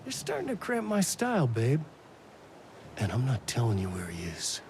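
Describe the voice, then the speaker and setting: A man's voice: medium pitch, huge pitch swings, normal speed, a little mellow, a little hiss or hum. A man playing a cocky, taunting evil twin in a video game, with a theatrical, mocking delivery. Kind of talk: hammy game villain acting.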